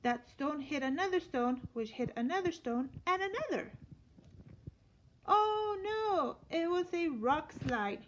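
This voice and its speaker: A woman teacher reading a children's story aloud in an expressive storytelling manner. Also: sits high, slow. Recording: dull lo-fi sound with light noise.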